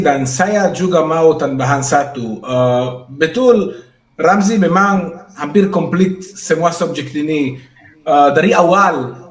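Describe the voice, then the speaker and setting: A man adding to a religious discussion, agreeable and hesitant, with many filler sounds and a few English words. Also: on the high side. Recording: echoey room.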